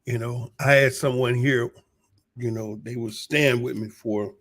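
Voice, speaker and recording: An American man with a hoarse voice, talking casually to his viewers in a halting, unhurried, folksy way. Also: medium pitch, fairly even intonation, fairly bright tone. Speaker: vlogger giving a personal update. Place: small room at home, a bomb shelter used as studio.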